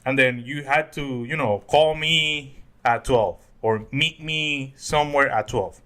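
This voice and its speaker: A man's voice: mid-range voice, a little slow, sharp tone. A man in his twenties from the Dominican Republic, co-hosting a podcast in casual, jokey, rambling chat. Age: 20s. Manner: jokey, rambling, laughs often. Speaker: podcast co-host.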